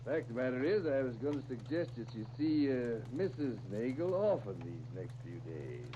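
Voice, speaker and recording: A man's voice: medium pitch, big pitch swings, normal speed, close to an everyday voice. An actor playing a shrewd, fatherly older small-town storekeeper with a drawling rural American accent, in 1930s radio drama style. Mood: calm, sly. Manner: drawling homespun country talk. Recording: dull, noisy 1930s radio disc recording.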